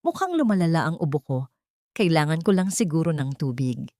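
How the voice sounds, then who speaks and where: A woman's voice: low voice, big pitch swings, a little fast, warm tone, no room sound. A woman voicing a wary, wronged bakery owner in an audio story, guarded and controlled. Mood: feigned apology.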